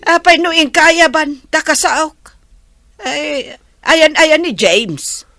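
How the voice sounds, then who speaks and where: Napigsa ti timekna nga Ilocana nga ina nga iyak-akting ti aktres. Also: female voice, sits high, animated, pushed and bright, no echo, heavily acted. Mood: demanding, irritated. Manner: exclamatory, drawn-out interjections. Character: pushy, pleading village mother, sharp-tongued with underlings. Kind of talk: Ilocano radio comedy-drama acting.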